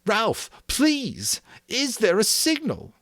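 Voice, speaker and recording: A man voicing frightened, desperate young boys in an audiobook reading, raising his voice to high, strained shouts. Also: huge pitch swings, slow pace, no room sound, studio quality, exaggerated voice. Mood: desperate shouting.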